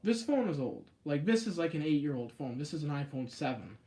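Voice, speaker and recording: A man hosting a casual live stream on a phone, chatting and answering viewer comments in a rambling way, with sudden jumps up in pitch on stressed words. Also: sing-song, a little fast, warm tone. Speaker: YouTube live-stream host.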